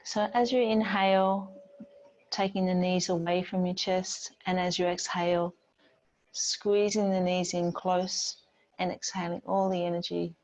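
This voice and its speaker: A woman yoga teacher giving gentle, unhurried guided relaxation and movement instructions in a soothing manner. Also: lowish voice, level tone, poor audio.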